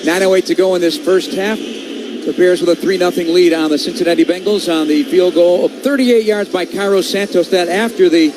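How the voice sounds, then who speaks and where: A man's voice: high-pitched, fairly even intonation, a little fast. An American man doing live play-by-play radio commentary on American football, in clipped phrases. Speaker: play-by-play sports announcer. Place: stadium broadcast booth. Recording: clear stadium ambience noise behind voice. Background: stadium ambience.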